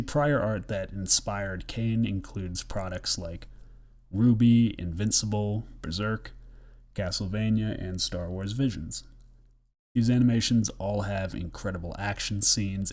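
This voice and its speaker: A young man, a student, reading a project presentation aloud in a steady, scripted way, a bit hoarse from the flu. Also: fairly low pitch. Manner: steady, scripted, list-like delivery.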